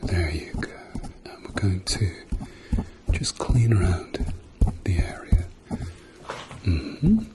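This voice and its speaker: A man doing a soft-spoken, often whispered ASMR roleplay as a gentle, reassuring doctor with a dryly playful manner. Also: deep voice, very animated, slow, long pauses. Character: calm, reassuring male doctor treating a patient.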